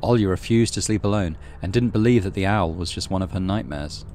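A man reading a horror visual novel aloud as dramatic, measured narration. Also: deep voice, a little mellow, slight background noise. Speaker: gaming channel host reading visual novel. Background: music.

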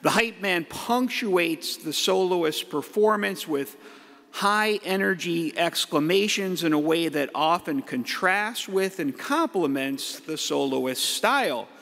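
Middle aged priest preaching slow at the pulpit, kinda high voice, long church echo. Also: male voice, lively intonation, vocal fry. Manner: playful, with hype-man shouts. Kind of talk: homily from the pulpit. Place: large church. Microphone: pulpit mic. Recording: echoey church hall.

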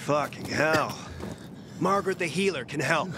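A man's voice: on the high side, moderate pace, background noise, close to an everyday voice. A man playing a tense, urgent survivor companion in a video game. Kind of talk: video game dramatic acting.

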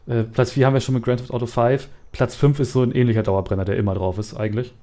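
Mellow-voiced man hosting a gaming podcast. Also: mid-range voice, steady tone, quick pace. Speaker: podcast host. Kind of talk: casual gaming podcast talk, quizzing co-hosts.

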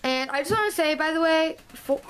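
A woman's voice: high voice, lively intonation, brisk, fairly bright tone. A young woman rambling casually as she vlogs on a handheld camera, with lots of fillers. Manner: chatty, rambling, filler-heavy. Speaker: vlogger. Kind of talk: casual vlog chat. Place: bedroom, then car and hotel room. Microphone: handheld camera at arm's length. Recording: noisy handheld camera audio.

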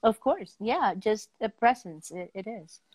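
A middle-aged woman hosting a casual interview, speaking in halting, fragmentary phrases. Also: medium pitch, expressive, a little mellow, no room sound. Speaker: talk show host. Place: indoor room, video call.

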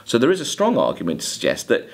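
A man giving a literature lecture, emphatic, halting and deliberate as he corrects himself. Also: medium pitch, wildly swooping pitch. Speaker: college English literature lecturer. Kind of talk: lecture with quoted lines of verse. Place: indoors, recorded video lecture at a desk.

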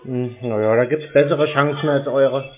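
A man's voice: medium pitch, steady tone, moderate pace. A man in a phone conversation, answering in a dry, stubborn and evasive way over a telephone line. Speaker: call recipient baiting scam callers. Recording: narrow muffled telephone line.

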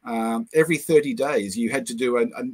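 An older man with a New Zealand accent, a guest lecturer telling anecdotes over a video call, with many pauses and fillers. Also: mid-range voice, a little mellow. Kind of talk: casual chat, storytelling.

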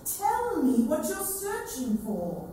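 Woman acting a slow, echoing, pleading girl. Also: extremely high pitch, wildly swooping pitch, warm tone, exaggerated voice. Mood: searching, insistent. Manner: slow, emphatic delivery. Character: pleading, childlike young girl at a grandmother's deathbed. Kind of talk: staged dramatic reading. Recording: echoey hall from far off, noisy.

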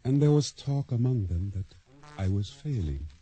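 Deep-voiced, reflective island boatman. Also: sing-song, moderate pace, mellow, husky, no echo. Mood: somber, reflective. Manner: reflective, storytelling. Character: weary male island boatman recalling his survival. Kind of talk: reflective radio drama narration.

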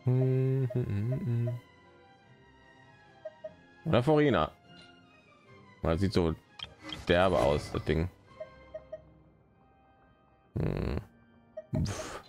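Male streamer, very low creaky voice, casual rambling play-by-play, muttering to himself over faint music. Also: very slow, long pauses, a little mellow. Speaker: live streamer. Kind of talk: casual gaming stream commentary.

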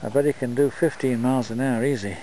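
A man narrating his dog scooter run outdoors in the desert in a relaxed, unhurried way. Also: mid-range voice, slight background noise, poor audio. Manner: relaxed, unhurried talk with fillers. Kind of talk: casual vlog narration.

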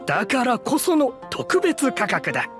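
A man's voice: very high voice, wildly swooping pitch, a little slow, full character voice. A man playing a genial, businesslike landlord in a game, courteous and assured. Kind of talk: Japanese game voice acting. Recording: music behind the voice.

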